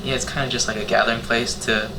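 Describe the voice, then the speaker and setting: A man's voice: mid-range voice, level tone, quick pace, noisy background. A nineteen-year-old man, talking casually and a little hesitantly on a panel. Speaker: youth volunteer organizer.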